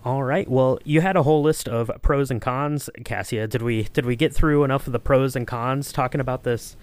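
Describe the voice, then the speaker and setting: A man hosts an informal podcast conversation in an average-pitched voice. He talks casually and haltingly, working out his thoughts as he goes. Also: clear, resonant tone. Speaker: podcast host. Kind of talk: casual discussion, informal chat.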